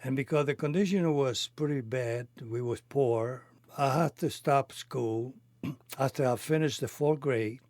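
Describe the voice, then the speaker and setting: A man in his eighties from Cuba, a native Spanish speaker with a Cuban accent, recounting his life in halting, non-native phrasing. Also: mid-range voice, darkish tone, no echo. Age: 80s. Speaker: interviewee telling his life story. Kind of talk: reminiscing conversation.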